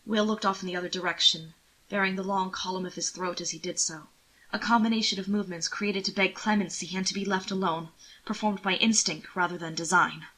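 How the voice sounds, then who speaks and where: Bright-voiced woman narrating a story. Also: on the low side, steady tone, brisk, poor audio. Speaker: audiobook narrator. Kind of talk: expressive scripted story narration.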